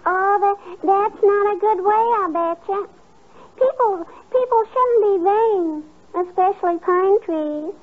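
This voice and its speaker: A woman's voice: very high voice, slow. A woman playing a sweet, curious, chatty little girl in a 1950s radio comedy, repeating herself with childish questions. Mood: curious, attentive. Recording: muffled vintage 1950s radio recording.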